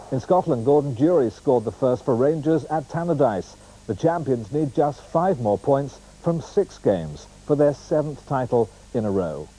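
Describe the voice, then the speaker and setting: Male sports newsreader, British, mellow tone, mid pitch, faint noise behind. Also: poor audio. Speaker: sports news presenter. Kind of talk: scripted news bulletin reading. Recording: clear background noise, 1990s TV broadcast.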